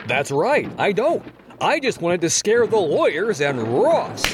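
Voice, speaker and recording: Showboating villain game show host, swooping high. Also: male voice, big pitch swings, noisy background. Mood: insistent. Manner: drawn-out, teasing showman delivery. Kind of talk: theatrical game show patter in audio drama.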